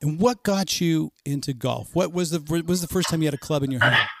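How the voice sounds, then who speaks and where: A man's voice: a little high, fairly quick, creaky, fairly bright tone, a little hiss or hum. Upbeat middle-aged man hosting a golf chat. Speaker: podcast host. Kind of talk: casual interview chat.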